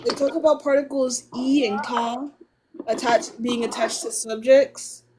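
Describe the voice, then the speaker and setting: A young woman casually explaining Korean grammar on a live stream and slowly sounding out Korean phrases. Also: a little high, steady tone, dark tone, slight background noise, low-quality recording.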